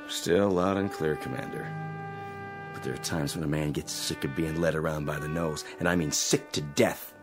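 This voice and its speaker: A man's voice: a bass voice, normal speed. An American man playing a sarcastic, griping astronaut in a radio drama, with a slangy, wisecracking delivery. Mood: resentful. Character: sarcastic, griping male astronaut, resentful crewman. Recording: dramatic music behind the voice.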